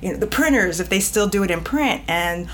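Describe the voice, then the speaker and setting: A woman's voice: mid-range voice, expressive, fairly quick, slight background noise. A woman guest editor talking frankly and at length in a casual podcast conversation, trailing off and restarting mid-thought.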